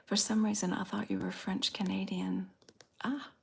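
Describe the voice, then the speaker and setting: A woman from the Southern US with a slight Southern accent, softly whispering in an unhurried, drawn-out way as she chats with viewers in an ASMR stream. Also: mid-range voice, flat delivery, creaky, husky. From Southern United States. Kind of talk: ASMR whispered live chat.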